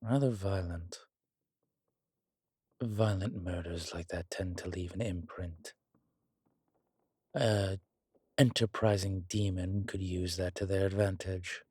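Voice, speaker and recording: Man acting as a husky, deep-voiced, unhurried immortal demonologist. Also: fairly even intonation, mellow, dry recording, heavily acted. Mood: grave, explaining. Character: long-lived male demonologist professor, calm, wry, protective. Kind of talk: slow intimate audio roleplay acting.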